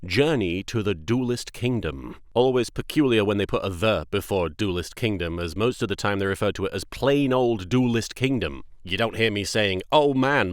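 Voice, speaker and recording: A man's voice: fairly low pitch, dry recording, studio quality. A man giving comedic anime recap commentary, full of wry, sarcastic asides. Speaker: host of an anime rewatch series.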